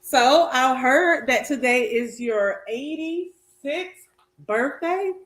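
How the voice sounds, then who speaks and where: A middle-aged woman chatting casually as she cooks, her voice slightly raspy as it recovers. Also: high voice, lively intonation, slow, roomy sound. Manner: chatty, warm greetings and blessings to viewers. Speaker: health coach and vlogger. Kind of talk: casual cooking vlog chat. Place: home kitchen.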